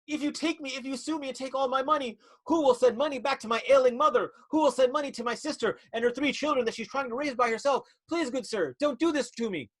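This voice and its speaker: Man doing a frantic high-voiced woman pharmacist. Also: quick pace, hardly any pauses, fairly bright tone. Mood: pleading. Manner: melodramatic, breathless pleading. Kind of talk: improvised storytelling.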